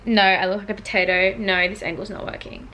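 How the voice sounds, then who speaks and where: A woman's voice: mid-range voice, flat delivery, fairly quick, sharp tone, rough recording. A woman in her twenties with an Australian accent, chatting casually and slangily to a vlog camera. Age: 20s. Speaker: vlogger, sprinter. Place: small motel room, sometimes just outside it. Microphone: handheld vlog camera at arm's length. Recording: handheld camera audio with background noise.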